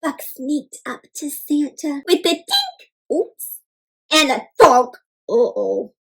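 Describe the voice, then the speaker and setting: Super expressive high voiced lady reading a picture book to kids, asks lots of questions, silly sound effects. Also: takes it slowly, vocal fry. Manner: animated, exclaiming, questioning listeners, playful sound effects. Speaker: read-aloud host for children. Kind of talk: interactive children's storybook read-aloud.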